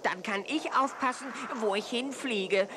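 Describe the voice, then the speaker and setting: An actor playing a gentle young male elephant in a children's audio play, reassuring and sensible. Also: medium pitch, a little slow, natural voice. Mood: slightly worried. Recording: noisy 1980s cassette audio play.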